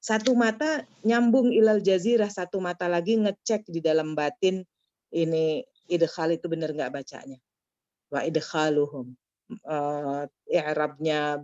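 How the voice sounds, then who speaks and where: Low-voiced woman teaching Arabic. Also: fairly even intonation, darkish tone, no room sound, muffled recording. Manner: corrective, drills pronunciation, repeats words slowly. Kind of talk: online class feedback.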